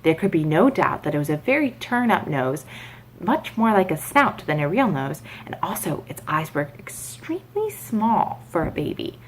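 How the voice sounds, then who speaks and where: A woman narrates a children's bedtime story. Her voice sits fairly low and moves with very lively intonation, as expressive storybook reading. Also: normal speed, a little hiss or hum, poor audio.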